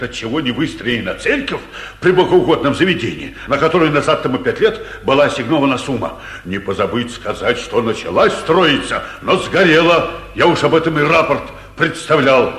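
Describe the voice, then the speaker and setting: An actor playing a flustered, blustering, corrupt town governor, commanding and agitated, in a 1940s stage comedy for radio. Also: male voice, mid-range voice, lively intonation, fairly quick, a bit bright, natural voice. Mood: harried. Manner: commanding, agitated, muttering prayers and curses. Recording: roomy old 1940s radio recording.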